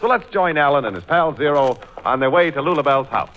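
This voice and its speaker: A man's voice: a little high, animated, brisk, dull audio. An American man announcing in a polished, persuasive 1940s radio commercial style.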